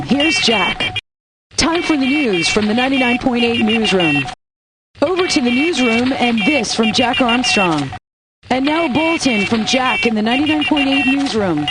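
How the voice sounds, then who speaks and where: Actress as a wry, higher-voiced woman radio DJ. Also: heavy background noise, natural voice. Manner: wry, sarcastic. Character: wry, upbeat alternative-rock radio DJ woman. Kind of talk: radio DJ patter and song introductions.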